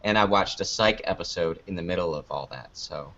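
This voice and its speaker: Muffled, low-voiced man chatting casually. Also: fairly quick, a bit bright, a little hiss or hum. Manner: rambling, self-deprecating, jokey. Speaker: interview guest, online video maker. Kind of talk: casual unscripted interview chat.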